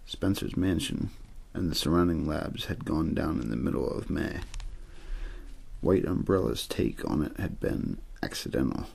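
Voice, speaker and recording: An extremely deep-voiced man reads a story in ASMR style. His voice is husky, breathy and full of gravelly fry. He speaks softly in measured phrases, pausing often. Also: expressive. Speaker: audiobook-style reader for an ASMR channel. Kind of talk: ASMR story reading, scripted narration.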